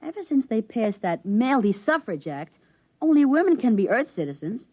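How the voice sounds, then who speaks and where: A woman's voice: fairly high pitch, very animated, moderate pace. An actress playing a curious, stubborn thirteen-year-old boy with a boyish, eager manner, in an old radio drama. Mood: eager, cheeky. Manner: boyish, eager and wide-eyed. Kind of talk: 1950s radio drama acting. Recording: muffled 1950s radio broadcast.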